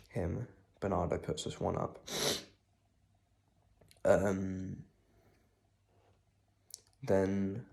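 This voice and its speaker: A young man with a cold and sore throat, talking quietly and casually about a football match, with lots of ums. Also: sits low, vocal fry. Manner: speaks quietly, holding back volume; rambling with many ums. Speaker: football fan vlogger. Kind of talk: casual post-match reaction.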